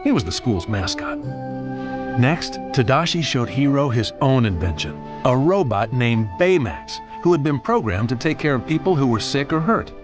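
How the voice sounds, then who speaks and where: A man's voice: medium pitch, big pitch swings, normal speed. A man reading a scripted children's read-along storybook as its narrator. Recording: music bed behind the voice. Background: background music.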